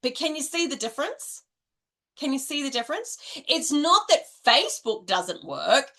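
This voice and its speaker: Middle-aged Australian woman, high and bright, chatty coach who keeps checking in with "right?". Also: very animated, normal speed. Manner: chatty, ends nearly every point with "right?". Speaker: direct selling coach. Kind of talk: casual coaching monologue to camera.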